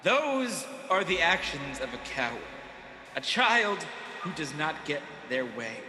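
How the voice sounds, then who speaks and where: A man's voice: a little high, big pitch swings, pushed and bright, exaggerated voice. A man playing a bitter, vengeful fallen angel who schemes as king of demons, theatrical and menacing in a radio play. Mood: vengeful fury. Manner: theatrical, menacing, drawn-out emphasis. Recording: echoey, reverberant hall effect.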